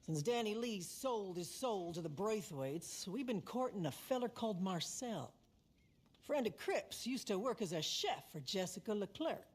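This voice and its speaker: A woman's voice: medium pitch, expressive, moderate pace, husky. An actress playing a shrewd, commanding older woman running moonshine, with an American Southern accent and a dry, folksy, plainspoken manner in a game cutscene. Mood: scheming, determined. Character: tough, vengeful older female moonshine boss, shrewd and commanding. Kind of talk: cinematic game dialogue.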